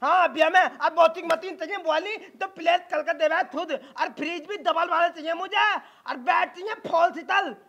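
A squeaky, shrill young bridegroom, demanding like a child, who garbles his words with a thick lisp. It is a pitched-up cartoon comedy voice. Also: sing-song, fast talker, hardly any pauses, sharp tone, highs cut off, heavily acted. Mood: eager, demanding. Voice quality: heavy lisp, garbled consonants. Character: childish, demanding young groom who cannot talk straight.

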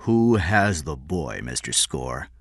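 A man voicing a guarded, wealthy businessman and father in an audiobook story, with a flat, terse manner. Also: extremely low pitch, slow, breathy edge, no room sound, clean studio sound, exaggerated voice.

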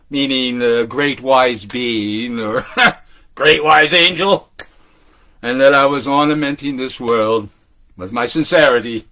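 An older man performing a theatrical monologue like a beat storyteller, animated and halting, with drawn-out words. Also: medium pitch. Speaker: solo performer.

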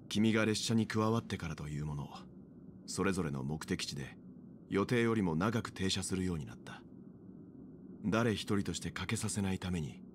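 An actor playing a mature, thoughtful male mentor in an anime game, explaining things in a measured manner. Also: low voice, a little hiss or hum.

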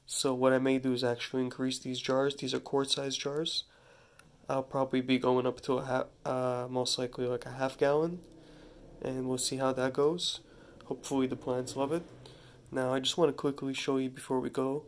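A man with a stuffy head cold casually demonstrating a gardening task at a kitchen sink, talking in a relaxed, halting way with many restarts. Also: mid-range voice. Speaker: hydroponics gardening channel host. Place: home kitchen at a running sink. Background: running tap water.

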